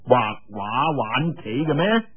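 A man voicing a haughty, sarcastic male cult elder who interrogates in drawn-out, sneering Cantonese. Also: medium pitch, very animated, husky, lo-fi sound, exaggerated voice. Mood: scornful.

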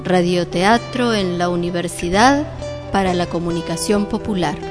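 A woman's voice: lowish voice, moderate pace. A woman reading scripted radio announcements as the programme's announcer. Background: guitar music.

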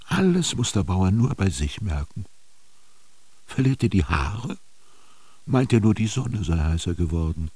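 Brooding, commanding master for radio drama: very low, slow, husky, declamatory. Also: male voice, sing-song, mellow, slight background noise, heavily acted. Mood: bitter, declaiming. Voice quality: gravelly, husky. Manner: declamatory, halting, with whispered emphasis. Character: commanding, brooding male master dictating his bitter text to a scribe. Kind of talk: German literary radio drama monologue.

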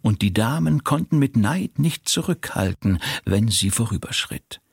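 An actor playing a deadpan, deliberate storyteller of absurd, grotesque tales in a radio play. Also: male voice, mid-range voice, vocal fry, husky, no echo, full character voice. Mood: deadpan, wistful. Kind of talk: literary radio play narration.

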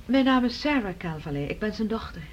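A woman's voice: medium pitch, expressive, fairly quick, muffled recording, slight background noise, natural voice. An actress playing a polite, reserved woman with a formal manner in a radio play. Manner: formal, courteous. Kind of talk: Dutch radio play dialogue.

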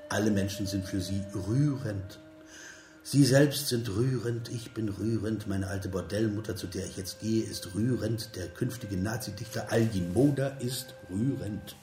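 A male actor as a cynical, scornful man, fairly low in pitch, declaiming with mocking repetition. He lectures like a figure in a radio play, in a roomy space with faint music behind him. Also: unhurried, mellow, natural voice. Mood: bitter, mocking. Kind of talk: radio drama acting.

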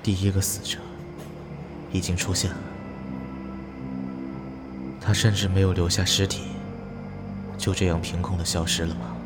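A man's voice: deep voice, little pitch movement, normal speed, long pauses, over loud music, close to an everyday voice. A man acting an anxious young man caught in a deadly subway game, in dramatic inner monologue. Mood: fearful, brooding.

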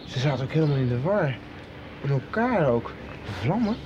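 Actor as troubled young male searcher, medium pitch, radio soap, dull recording, noisy background. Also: very animated, normal speed, lightly acted. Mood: confused, uneasy. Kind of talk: 1990s radio drama acting. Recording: dull sound with clearly noisy ambience.

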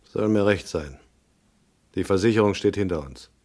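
A man's voice: deep voice, moderate pace, a little mellow. An actor playing a cool, tough private detective in a noir radio drama, laconic and matter-of-fact as he narrates and talks. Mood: terse, dry. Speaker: narrator and lead character.

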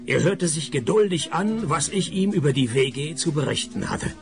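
A wry private detective from a radio crime comedy. His gravelly voice sits a little high and moves with lively swings, dry and sarcastic throughout. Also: normal speed, music in the background. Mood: deadpan narrating. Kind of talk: scripted radio crime comedy narration and dialogue.

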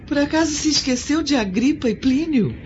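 High, expressive Roman matron. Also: female voice, fairly quick. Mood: coaxing. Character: Roman senator's wife, concerned gentle mother. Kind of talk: radio drama acting. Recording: noisy, dull lo-fi radio drama.

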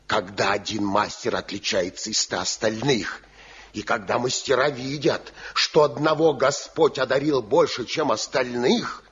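An actor playing a principled, authoritative guild elder, speaking in an oratorical Soviet radio drama manner. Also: male voice, medium pitch, fairly bright tone, a little hiss or hum. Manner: commanding, measured, oratorical. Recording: dull lo-fi 1970 radio recording.

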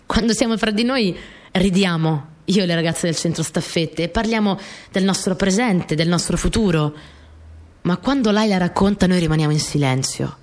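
An actress playing a small, earnest, easily flustered young wartime partisan courier, telling her story in an intimate, expressive radio drama monologue. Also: fairly low pitch, normal speed, natural voice. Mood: earnest, reflective.

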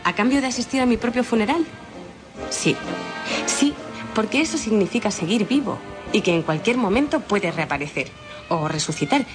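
A woman's voice: medium pitch, expressive, normal speed, long pauses, lightly acted. An actress playing a defiant young woman in a radio play, speaking. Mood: determined. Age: young adult. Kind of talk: Spanish radio theatre acting. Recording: music behind the voice.

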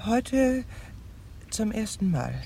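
A middle-aged woman consumed by love, bitter and sardonic, in a crime radio play. She speaks slowly and intimately in a soft, dark tone with a creaky edge, often sinking into a whisper. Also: fairly high pitch, big pitch swings, a little hiss or hum, full character voice. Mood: defensive, wounded. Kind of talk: radio crime drama monologue and dialogue.

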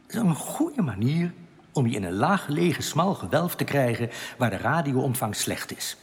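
A calm, wary alien outcast in a science fiction radio drama. He explains himself earnestly and in measured phrases, with a lively rise and fall in pitch. His voice rings in a long, cave-like reverb. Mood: calm, reassuring.

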